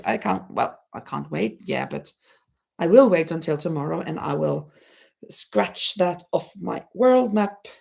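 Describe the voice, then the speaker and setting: A middle-aged woman with a Norwegian accent chats unhurriedly on a home livestream. Her voice has a gravelly fry, and she laughs easily and restarts her words as she reads out comments. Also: mid-range voice, darkish tone. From Norway. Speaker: livestream host. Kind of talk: casual chat reading viewer comments. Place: home, near a terrace.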